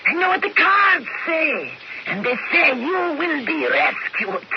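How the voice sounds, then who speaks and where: An earnest peasant fortune-teller in a radio drama, her voice very high and creaky. She makes insistent, dramatic declarations through a heavy wash of noise. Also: big pitch swings, unhurried, exaggerated voice. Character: earnest, insistent peasant shepherd woman reading fortune cards. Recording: muffled, very noisy radio recording.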